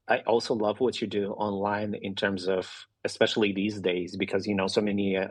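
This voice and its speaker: Man, Russian speaker, deep voice, dry close sound, podcast host, casual chat, filler-heavy questions. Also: no room sound. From Ukraine. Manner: loose, searching questions with many fillers.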